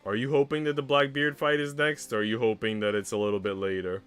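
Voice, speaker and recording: A man's voice: mid-range voice, little pitch movement, fast talker, a bit bright, clear, resonant tone, soft background music. A man live-streaming as he reads a visual novel aloud and chats casually in slangy, mildly sweary language. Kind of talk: casual live-stream chat mixed with read-aloud narration.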